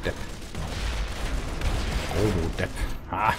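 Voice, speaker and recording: A man playing a co-op game, chatty and excitable, often crying out for help and teasing his partner. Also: deep voice, drawn-out words. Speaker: co-op gamer. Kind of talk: casual gaming chat. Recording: game noise loud behind.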